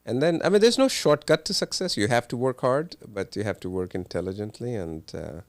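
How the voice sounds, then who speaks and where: A middle-aged man from Pakistan, a cardiologist, telling his story in an interview with many pauses and ums. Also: mid-range voice, animated, no room sound. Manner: hesitant, many ums and uhs. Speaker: cardiologist guest. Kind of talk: relaxed interview answers.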